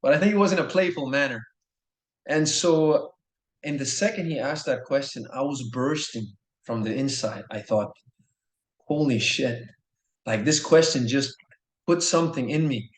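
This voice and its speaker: A man's voice: mid-range voice, moderate pace. A man in his thirties from Norway, telling his story as a podcast guest in an earnest, enthusiastic way, often repeating himself. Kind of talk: casual storytelling. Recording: echoey room.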